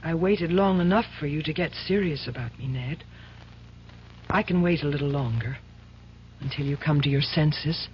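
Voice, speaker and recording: A woman's voice: low voice, normal speed, lightly acted. An actress playing a playful, coaxing young woman who turns insistent, in a 1970s radio drama. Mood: tender. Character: teasing, coaxing young woman, stubborn underneath. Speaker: lawyer's girlfriend and boss's daughter. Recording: dull lo-fi old radio broadcast with faint hiss.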